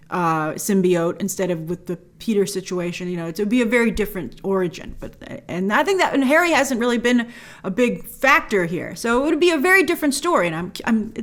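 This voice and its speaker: A woman's voice: medium pitch, a bit bright. A woman hosting a review show, giving casual, opinionated commentary in an emphatic way, leaning hard on key words. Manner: emphatic, gushing in praise, stresses key words. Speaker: host of a film review show.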